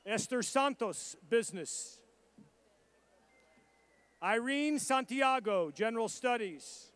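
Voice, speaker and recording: A man reading out graduates' names and their programs at a commencement ceremony, in a measured and formal roll-call delivery. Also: extremely high pitch, lively intonation, a bit bright, clear, resonant tone. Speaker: commencement name reader.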